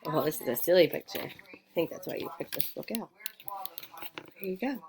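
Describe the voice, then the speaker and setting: A woman's voice: sits low, expressive, a little fast, gravelly. A mother speaks in a casual, instructive way to a young child practicing reading. Her manner is encouraging, full of short prompts and questions. The home recording has faint noise and small clicks.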